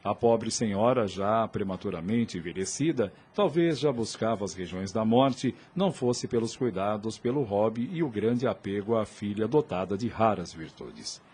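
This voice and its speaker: A man's voice: on the low side, expressive, moderate pace, hardly any pauses, darkish tone, slightly rough. A man with a Brazilian accent giving scripted dramatic narration as the storyteller of an audio drama.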